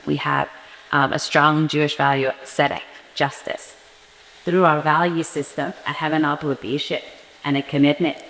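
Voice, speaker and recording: A woman from near New York City speaks earnestly as a panelist and circles back to repeat her key phrases. Her voice sits low. The sound carries the long reverb of a conference hall. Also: a bit bright. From northeastern United States, near New York City. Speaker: forum panelist. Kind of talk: personal testimony at a panel.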